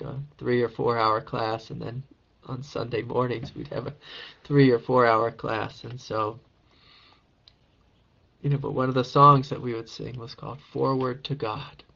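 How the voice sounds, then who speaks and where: A man with a raspy voice, a meditation teacher answering a question in a calm, encouraging spiritual talk. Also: mid-range voice, lo-fi sound. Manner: gentle, calm and encouraging, with soft laughs.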